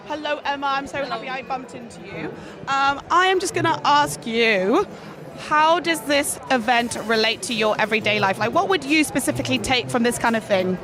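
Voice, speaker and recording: High-voiced woman at a busy trade show. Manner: upbeat, quick questions. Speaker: roving reporter. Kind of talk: vox-pop interviewing. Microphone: handheld mic. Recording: crowd noise of a trade show.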